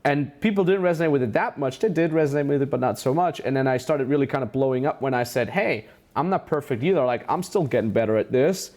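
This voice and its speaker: A man's voice: mid-range voice, fast talker, few pauses, darkish tone. Upbeat male business host with accent. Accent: German. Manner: enthusiastic, praising, many restarts. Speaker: business mentor, interview host. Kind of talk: casual interview conversation.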